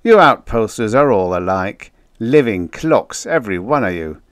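A man's voice: on the low side, sing-song, moderate pace, no room sound, pitched above the performer's own voice. Man putting on a jovial, bouncy wisecracking spaceman. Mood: gleeful. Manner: chuckling, wisecracking, slangy. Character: jovial teasing spaceman, a gleeful kidder.

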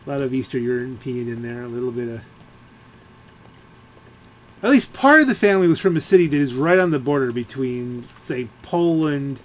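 A man giving casual, rambling gameplay commentary, halting as he thinks aloud. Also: medium pitch, expressive, slight background noise. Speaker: gaming video creator.

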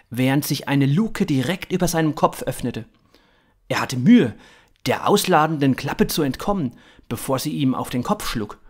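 A man in his thirties narrating scripted fiction in a dramatic, expressive way. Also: fairly high pitch.

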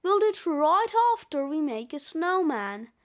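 Woman playing an eager, high-voiced storybook boy. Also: very animated, measured pace. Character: young boy Terry, eager, easygoing, playful best friend. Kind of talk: children's audiobook character.

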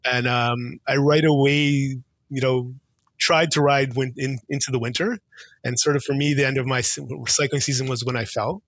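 A man's voice: medium pitch, fairly even intonation, normal speed, a bit bright, no echo, low-quality recording. A middle-aged man from Israel, a podcast guest talking casually over a video call on a headset, thoughtful with many fillers and restarts. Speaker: guest, transportation consultant. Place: home office with bookshelves. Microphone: headset mic on video call.

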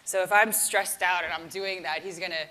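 A woman's voice: on the low side, fairly even intonation, moderate pace, pushed and bright, low-quality recording. A woman yoga teacher giving a casual keynote talk with a live demonstration, chatty and self-deprecating.

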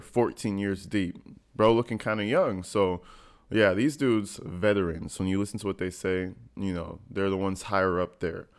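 Low-pitched young American man, slangy. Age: young adult. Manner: slangy, profane, conversational. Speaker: reaction video host. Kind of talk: casual reaction commentary. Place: small indoor home room, gaming chair at desk.

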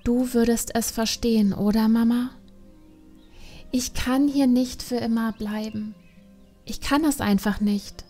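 A grieving young panda girl, played by a woman in an audiobook drama. Her voice is fairly high and unhurried, hushed and heartfelt, with music behind it. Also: fairly even intonation. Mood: sad, hushed. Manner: soft, hesitant, hushed and heartfelt. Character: grieving, restless young female panda longing for adventure.

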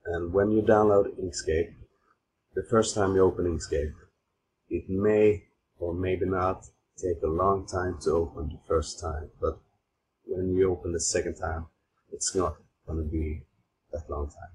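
A man from Sweden with a Swedish accent, giving an informal software tutorial in simple, halting non-native phrasing. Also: deep voice. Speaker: tutorial host. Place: voice-over recorded at an old computer. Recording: steady clear background noise, mouse clicks.

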